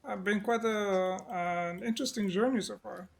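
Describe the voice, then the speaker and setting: A man's voice: high voice, normal speed, gravelly. Chatty man who laughs and restarts. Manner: chatty, laughing, repeats words as he starts. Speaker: indie game developer guest. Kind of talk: casual interview chat.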